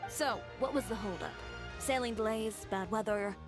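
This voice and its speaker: A woman's voice: mid-range voice, normal speed, over music, close to an everyday voice. A woman voicing a confident, blunt young female fashion designer with a composed, dry manner, in an anime-style video game. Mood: probing, dry.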